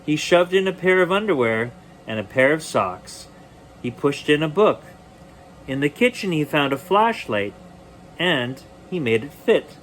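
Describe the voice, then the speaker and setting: A man reading a children's bedtime story aloud expressively, with deliberate dramatic pauses. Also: a little high, very animated, fairly bright tone, poor audio.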